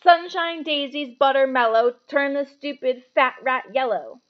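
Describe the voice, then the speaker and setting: A woman's voice: high voice, unhurried, lo-fi sound, voice pushed up. A woman voicing a blunt, casual young schoolboy with an offhand, emphatic way of talking in a storybook. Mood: chanting.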